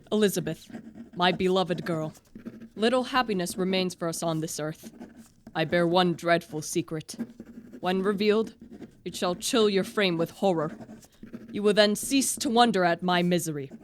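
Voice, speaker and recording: A woman's voice: lowish voice, slight background noise, lightly acted. A young woman playing a tormented, guilt-ridden young man in a radio play, with earnest, formal literary diction. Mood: grave, secretive. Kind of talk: student radio play acting.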